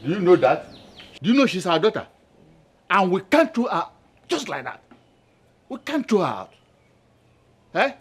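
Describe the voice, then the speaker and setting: A man's voice: high voice, big pitch swings, moderate pace, pauses often, breathy edge, heavily acted. A man playing a middle-aged, protective village father with a Nigerian Igbo accent, reasoning insistently in a film drama. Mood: protesting. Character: protective village father pleading for his daughter.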